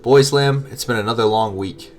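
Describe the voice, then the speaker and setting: A man's voice: mid-range voice, moderate pace, faint music behind. Nasal, congested man hosting a podcast. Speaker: podcast co-host. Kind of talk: casual podcast chat.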